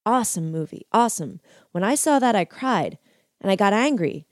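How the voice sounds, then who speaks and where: A man's voice: high-pitched, animated, moderate pace, no echo, studio quality, voice pushed up. Woman doing a Southern-drawling older biker preacher. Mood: tearful. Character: fiery small older male biker preacher.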